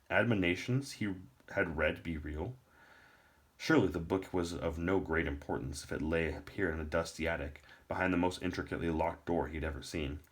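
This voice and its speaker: A man with a low-pitched voice reads a novel aloud as its narrator. The delivery is storybook narration, told in a deep register.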